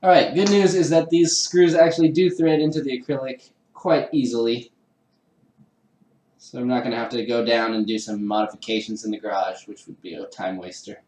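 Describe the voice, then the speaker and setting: A man's voice: mid-range voice, fairly even intonation, normal speed, darkish tone, reverberant, low-quality recording. A man chatting casually with live-stream viewers while assembling a kit, laughing often and poking fun at himself. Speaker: livestreamer building a 3D printer kit. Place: home room with a garage downstairs.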